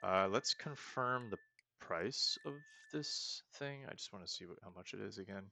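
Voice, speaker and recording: Low, gravelly man playing a game. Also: animated, moderate pace, bright, forceful tone, slight background noise. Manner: thinking aloud, hesitant restarts. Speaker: let's play host. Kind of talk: casual gameplay commentary.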